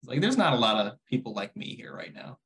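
A young man in a relaxed podcast chat, speaking at an ordinary, middling pitch. He is blunt and opinionated, with a wry, joking edge, and his dry humor keeps things light. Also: gravelly. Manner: opinionated, wry, quick to laugh. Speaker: podcast co-host.

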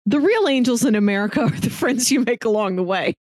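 A woman's voice: on the high side, expressive, fast, darkish tone, no room sound. A woman co-hosting a casual discussion, talking reflectively and articulately in long, built-up phrases. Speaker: podcast co-host. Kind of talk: casual conversational film discussion.

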